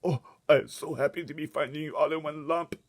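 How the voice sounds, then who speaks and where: A man voicing a kindly, gentle male giant from a children's storybook, earnest and tender, with muddled grammar. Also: on the high side, fairly even intonation, a little fast, voice pushed up, lightly acted. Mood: relieved, astonished.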